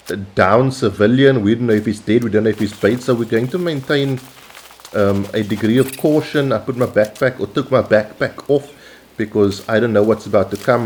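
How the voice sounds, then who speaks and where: A South African man hosting his gaming channel, calmly explaining tactics over gameplay with frequent pauses. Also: on the low side, slight background noise. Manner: measured, instructional, with frequent "um" and "uh" pauses. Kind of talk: gameplay commentary.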